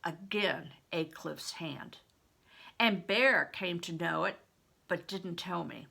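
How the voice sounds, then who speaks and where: Older woman narrating, slow and creaky. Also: lowish voice, bright, forceful tone. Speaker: audiobook reader, a grandmother. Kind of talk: scripted story narration.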